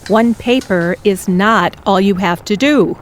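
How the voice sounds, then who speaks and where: An actress playing a measured, insistent middle-aged mother who is a doctor, in an audio drama. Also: mid-range voice, close to an everyday voice. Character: firm, pushy middle-aged mom, a doctor.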